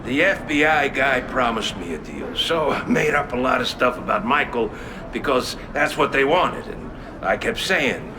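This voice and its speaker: A man acts out an old mob witness in a video game, rough and folksy. He dodges in halting, ungrammatical speech with lively swings of pitch, over clear room noise. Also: close to an everyday voice. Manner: ungrammatical, halting, shrugging off questions. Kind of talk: video game cutscene acting.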